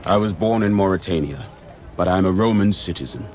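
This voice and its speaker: An actor playing a grim, blunt gladiator from Mauretania, terse and solemn, in a role-playing game. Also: male voice, low-pitched, brisk, a little mellow, faint music behind, close to an everyday voice. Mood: stoic. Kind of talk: scripted game dialogue.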